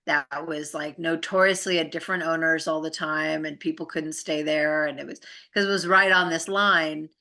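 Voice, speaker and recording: A woman with a notably low voice that still sounds bright and forward. She explains her ideas conversationally and earnestly, rambling informally and often checking in with the listener. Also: fairly even intonation, normal speed, hardly any pauses. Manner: informal, earnest, rambling with frequent tag questions.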